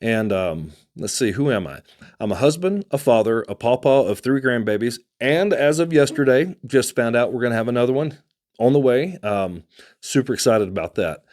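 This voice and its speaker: A man from Texas with a Texan accent, hosting a casual talk to camera, conversational and unhurried, with fillers and restarts. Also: mid-range voice, animated, darkish tone. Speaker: host.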